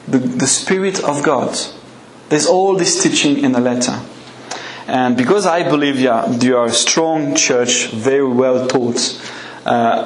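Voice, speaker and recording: A French man preaching in English with a French accent, his speech easy and conversational as he puts questions to the congregation. His voice sits at an ordinary middle pitch. It rings around a roomy church, with a faint murmur of ambience behind. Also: slight background noise. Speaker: guest preacher. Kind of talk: evening sermon with a live congregation.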